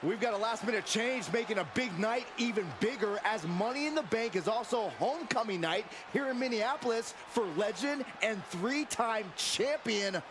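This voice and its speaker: A wrestling announcer in a game, calling out a change with booming delivery. His voice sits high for a man. Arena noise is clearly audible behind him. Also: animated, moderate pace, hardly any pauses. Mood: announcing. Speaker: commentator. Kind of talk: sports commentary in a game.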